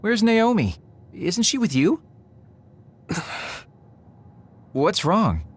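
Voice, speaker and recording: A man's voice: sits high, sing-song, drawn-out words, slightly rough, heavily acted. A man voicing a flippant, easygoing young soldier in an anime-style video game, playful and breezy. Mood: concerned.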